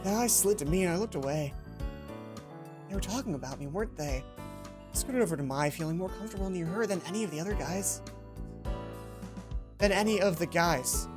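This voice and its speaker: Woman, lower voice, let's play narration, casual asides, small reading stumbles, clear game music behind. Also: lively intonation, brisk, long pauses. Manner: stumbles and self-corrects while reading. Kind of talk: reading visual novel text aloud with casual commentary.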